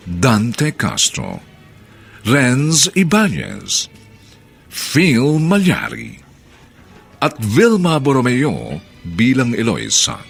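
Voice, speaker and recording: A man's voice: mid-range voice, creaky, breathy edge. A man with a Filipino accent announcing a radio drama in a theatrical scripted style.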